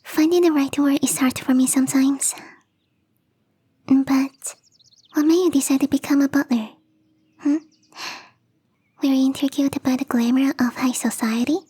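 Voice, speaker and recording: A woman playing a shy, playful young catgirl gardener in an intimate ASMR roleplay, speaking softly and hesitantly in a breathy half-whisper. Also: sits high, fairly even intonation, normal speed, long pauses, lightly acted. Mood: curious, teasing. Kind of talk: ASMR roleplay, intimate one-sided dialogue.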